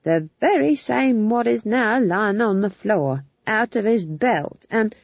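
A woman plays an old witch in an audiobook, defiant and unflappable. She talks back in a rustic, deadpan way, husky and expressive, on a slightly dull recording. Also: a little high, takes it slowly, no room sound, voice pushed up, full character voice. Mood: sly accusation. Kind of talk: audiobook character voice.

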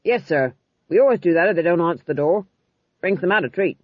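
A husky, dutiful sergeant from a comic storybook, acted out by a narrator, blunt yet deferential. His delivery jumps about in pitch, on a dry, slightly muffled recording. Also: lively intonation, fairly quick, no echo, natural voice. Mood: dutiful, eager. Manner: blunt, deferential, eager to please. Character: loud, over-eager, dutiful army sergeant, man.

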